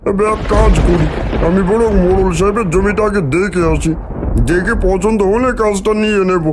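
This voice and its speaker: A man's voice: sits high, steady tone, normal speed, lightly acted. A man playing a hard-up villager looking for work in a cartoon, with a grumbling, musing manner. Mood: grumbling, scheming. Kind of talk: cartoon story dialogue acting. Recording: clear noise behind, outdoor ambience.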